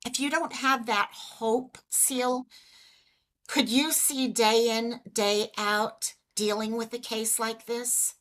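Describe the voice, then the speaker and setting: A woman hosting a casual livestream, chatty and greeting viewers by name, a little hoarse as she is losing her voice. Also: on the high side, steady tone, slow, a bit bright. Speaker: livestream host.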